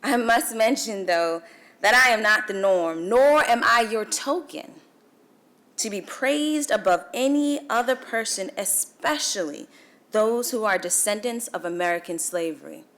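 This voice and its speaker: Young woman, Guyanese accent, formal speech, emphatic, measured pauses, mid-range pitch. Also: fairly bright tone. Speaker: featured speaker. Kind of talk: formal speech at a celebration breakfast. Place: event hall.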